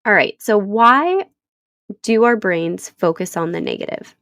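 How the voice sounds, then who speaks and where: Woman podcast host, thirties, stuffy from a cold, slow and earnest, dry close mic. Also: medium pitch, lively intonation, a bit bright, no room sound. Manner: earnest, encouraging, unhurried. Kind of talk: solo podcast talk.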